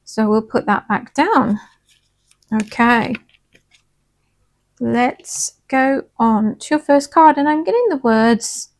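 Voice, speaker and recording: Woman card reader, a little hoarse, pitched a touch high, gentle and chatty with listeners. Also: slow pace, long pauses. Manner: soft, chatty, reassuring, checks in with "okay?". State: slightly hoarse from singing. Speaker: tarot and angel card reader. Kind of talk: casual spiritual card reading.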